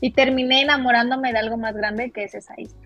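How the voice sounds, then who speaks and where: A young woman from Mexico with a Mexican accent, talking as a guest on an interview podcast. Her voice is husky and sits a little high. She rambles warmly with fillers, laughs, and the recording is dull, with faint music behind. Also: fairly even intonation, fast. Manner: chatty, laughs often, many fillers. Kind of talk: casual interview storytelling.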